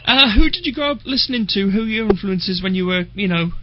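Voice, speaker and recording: High-voiced thirties male radio host. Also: lively intonation. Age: 30s. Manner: friendly questioner, halting, laughs easily. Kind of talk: casual radio interview. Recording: muffled lo-fi radio recording.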